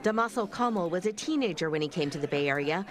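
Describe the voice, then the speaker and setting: A woman reporter reading a scripted news story in a measured, storytelling way. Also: on the low side, animated, a crowd in the background.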